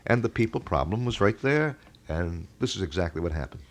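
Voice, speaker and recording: A man hosting a local radio talk show, speaking in wordy, measured and detailed explanations. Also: sits low, animated. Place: radio studio.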